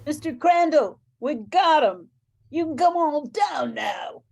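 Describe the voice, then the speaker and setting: A woman's voice: high voice, expressive, slow, gravelly, darkish tone, heavily acted. A woman playing narrator and police sergeant in an amateur radio play, with a scripted delivery.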